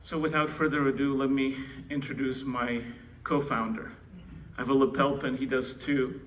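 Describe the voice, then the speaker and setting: A man's voice: mid-range voice, flat delivery, moderate pace. A man from the Czech Republic with a Czech accent, giving an informal introductory speech at a conference. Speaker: conference speaker introducing co-founder. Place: indoor conference room. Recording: distant mic, faint noise, room ambience.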